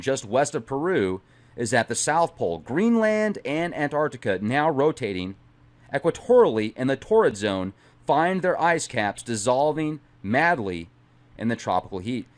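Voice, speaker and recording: A middle-aged man hosting a channel, speaking in a dramatic, emphatic way as he reads aloud and comments. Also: medium pitch. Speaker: channel host. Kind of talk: dramatic reading aloud with casual asides.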